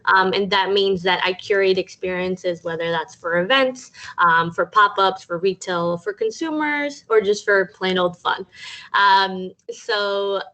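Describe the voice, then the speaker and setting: A woman's voice: mid-range voice, measured pace, sharp tone, lo-fi sound. A young woman from Cuba giving a casual talk over a video call, chatty and full of um fillers. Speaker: guest speaker.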